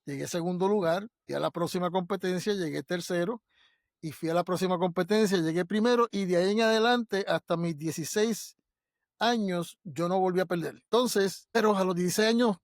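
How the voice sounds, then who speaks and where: A man's voice: high voice, fairly quick, no echo, clean studio sound. A Puerto Rican man in his fifties, an interview guest telling personal stories conversationally and voicing the dialogue he quotes. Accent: Puerto Rican Spanish. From Puerto Rico. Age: 50s. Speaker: interview guest, martial arts master.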